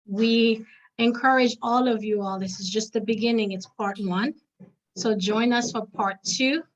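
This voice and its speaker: Muffled video call audio, woman host, native Haitian Creole speaker, earnest, measured, medium pitch, pausing between phrases. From Haiti or Haitian diaspora. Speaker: host of an online panel. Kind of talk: closing thanks to an online audience.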